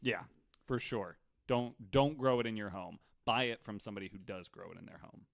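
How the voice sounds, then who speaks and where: A man's voice: lowish voice, brisk, vocal fry, no echo. Man in casual banter, dry close sound. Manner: joking, profane. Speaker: podcast co-host. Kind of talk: casual chat.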